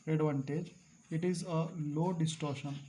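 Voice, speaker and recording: Male teacher, fairly high voice, repetitive lecturing, faint background noise. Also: steady tone, dark tone. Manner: repetitive, stresses key terms slowly, clears throat. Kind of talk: classroom lecture at a board.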